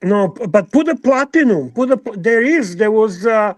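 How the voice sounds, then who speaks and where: A man with a Serbian accent chatting casually about watches on a livestream, halting and restarting often. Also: high voice, animated, no echo. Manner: halting, lots of restarts and filler.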